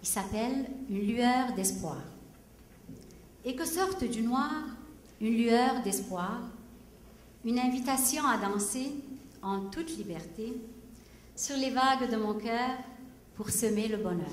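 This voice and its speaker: A woman's voice: medium pitch, a little hiss or hum. A woman from Egypt whose first language is Arabic, a singer-songwriter hosting a benefit concert and reciting a poem on stage. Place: large concert hall. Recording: echoey hall from far off with music.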